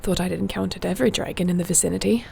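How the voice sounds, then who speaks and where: A woman acts out a gentle fantasy warrior woman with a lowish voice full of heavy creak. She is soothing and wry, speaking intimately as if to one listener in an audio roleplay. There is faint noise behind her. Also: racing. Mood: curious, musing.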